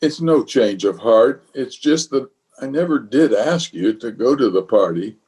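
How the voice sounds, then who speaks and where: A man's voice: medium pitch, expressive, unhurried, darkish tone, natural voice. A man playing a pompous, flustered middle-aged bachelor uncle in an old-time radio comedy, exasperated and hemming and hawing. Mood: nervous hedging.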